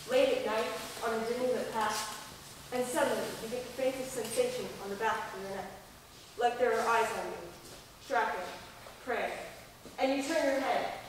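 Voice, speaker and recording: A woman's voice: fairly high pitch, natural voice. A schoolgirl playing a bold young woman author who tells a gothic horror story in a dramatic, teasing way on stage. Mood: menacing, teasing. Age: child or young teen student. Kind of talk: stage reading of a gothic radio play. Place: large echoing school performance space. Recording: echoey hall from far off, faint noise.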